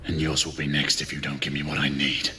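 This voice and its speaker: An actor playing a hardened, desperate knight in a video game, speaking in an intense, threatening way. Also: male voice, extremely low pitch, flat delivery, brisk, bright, forceful tone, a little hiss or hum, heavily acted. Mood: cold, menacing. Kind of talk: cinematic video game acting.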